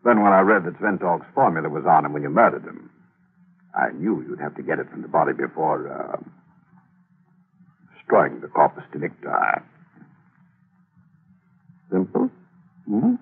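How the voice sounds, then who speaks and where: A gravelly smug radio killer. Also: male voice, a bass voice, sing-song, exaggerated voice. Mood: smug menace. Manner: smug, teasing, slow menace. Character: sly menacing male killer, peanut-cracking, mocking. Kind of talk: 1940s radio acting. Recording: tinny old radio broadcast.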